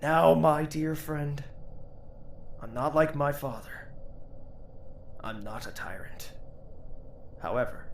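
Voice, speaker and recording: An actor playing a grieving, vengeful young royal in a fantasy audio drama, moving between hushed menace and raging. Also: male voice, fairly high pitch, slow pace, gravelly, pauses often. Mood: cold, controlled threat. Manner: theatrical, swings between hushed menace and screaming. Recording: roomy echo with faint noise.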